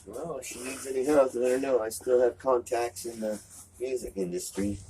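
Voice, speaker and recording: A man's voice: mid-range voice, fairly even intonation, moderate pace, warm tone, slight background noise, close to an everyday voice, poor audio. A man playing a retired music-industry veteran who talks in a laid-back, offhand way. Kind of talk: amateur home movie acting.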